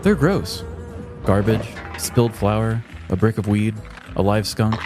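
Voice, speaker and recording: A man's voice: fairly low pitch, measured pace. A man podcast host reading a scripted, wry comedic true-crime story. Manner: wry, crude descriptive humor. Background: background music.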